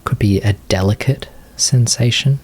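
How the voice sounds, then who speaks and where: A man leading a guided meditation as a teacher, giving unscripted, gentle instruction in short phrases with long pauses. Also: mid-range voice, slightly rough.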